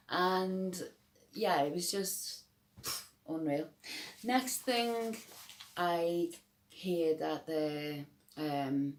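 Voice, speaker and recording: A low-pitched woman in middle age with a strong Scouse accent. She talks to the camera in a rambling, emphatic way, often breaking off mid-sentence and starting again. Her voice carries the hollow echo of a bare room. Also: a little mellow. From Liverpool, England. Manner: rambling, emphatic, many restarts and fillers. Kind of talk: personal testimony to camera.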